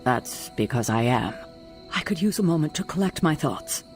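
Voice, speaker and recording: Game commander: burdened, self-doubting heroine, serious measured delivery over soft music. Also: female voice, deep voice, expressive. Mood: resolved, hesitant. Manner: serious, deliberate. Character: troubled woman leader of a superhero team, self-doubting, earnest. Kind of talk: video game cutscene acting.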